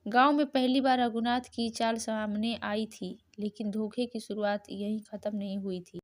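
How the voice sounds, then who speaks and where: A woman's voice: mid-range voice, flat delivery, moderate pace. A woman narrating a scripted moral story as the storyteller.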